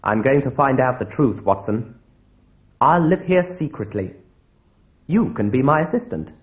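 An actor playing a keen, confident schoolboy detective in a read-along audio drama. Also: male voice, mid-range voice, big pitch swings, unhurried. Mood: earnest, determined.

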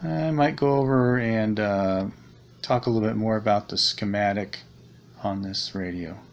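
A man's voice: lowish voice, unhurried, vocal fry, dull audio. A man giving a casual, unscripted repair walkthrough, thinking aloud in a hesitant, rambling way, recorded on a handheld camera at a desk indoors. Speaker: hobbyist radio repairer presenting a video. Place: small indoor room at a desk. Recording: steady background noise, handheld camera recording.

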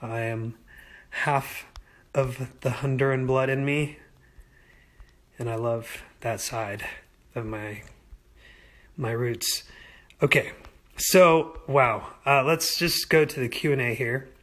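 A young man in casual livestream chat from home, talking easygoing and rambling into a phone held at arm's length. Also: mid-range voice. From United States. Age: young adult. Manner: easygoing, rambling, slow-paced, lively. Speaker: singer answering fan questions. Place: indoors at home.

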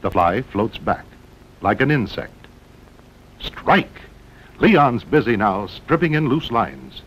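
A man's voice: big pitch swings, slow pace, a bit bright, husky. An American man narrating a 1960s promotional documentary film in a dramatic way, with punchy short phrases. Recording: muffled old film soundtrack with rumbling noise.

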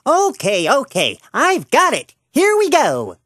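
A woman's voice: sits high, wildly swooping pitch, slow, over loud music, exaggerated voice. A woman voicing a lazy, flustered young frog puppet in a children's show, hesitant and forgetful. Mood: muddled.

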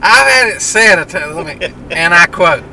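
A man tells stories from behind the wheel, excitable and laughing a lot. His voice is very bright and slightly high, swinging widely in pitch. He sounds a little off-mic over faint road noise. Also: normal speed, distant mic, low-quality recording. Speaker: co-host. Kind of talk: casual banter in a car. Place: car interior, driver's seat. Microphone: camera on the dashboard.

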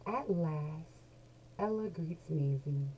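A middle-aged woman reading a children's picture book aloud expressively, drawing out key words in a small room at home. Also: deep voice. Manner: animated storyteller, deliberate, drawn-out key words. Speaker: children's book read-along host. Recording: noisy home webcam recording.

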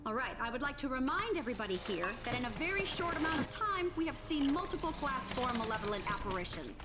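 A woman playing a feisty, bossy ghost-hunting team leader in a game cutscene, brisk and blunt. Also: high voice, very animated. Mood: commanding. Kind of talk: scripted game cutscene acting. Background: loud music.

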